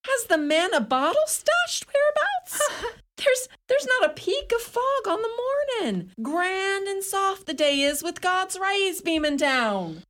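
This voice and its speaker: High-voiced, sharp-tongued Irish mother. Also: very animated, slow, a little hiss or hum, exaggerated voice. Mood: scornful, indignant. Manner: scolding, theatrical, scornful. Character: sharp-tongued, indignant Irish mother, middle-aged or older. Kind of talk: radio play acting.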